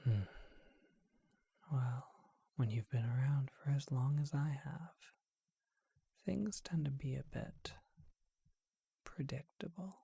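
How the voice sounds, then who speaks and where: A soft, very breathy vampire acted out by a performer for an ASMR roleplay, courteous and bookish. The words come slowly in a dark, intimate whisper, close and dry. The manner is refined and musing, as befits someone ancient and curious. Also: mid-range voice, no echo, full character voice. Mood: intimate, reflective. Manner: refined, formal wording, unhurried and musing.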